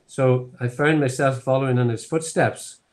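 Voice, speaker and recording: A man's voice: mid-range voice, fairly even intonation, moderate pace. A man in his seventies with a Northern Irish accent, a distillery founder telling his story in a rambling way with many fillers, on a video call from a home office. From Northern Ireland. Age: 70s. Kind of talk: online tasting conversation. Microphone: laptop webcam on video call.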